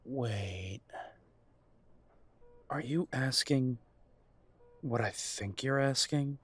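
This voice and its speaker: A man's voice: mid-range voice, drawn-out words, a bit bright, breathy edge, heavily acted. A man playing a wounded young werewolf son in an audio drama, confrontational and sardonic with his parents. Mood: suspicious. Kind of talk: audio roleplay drama acting.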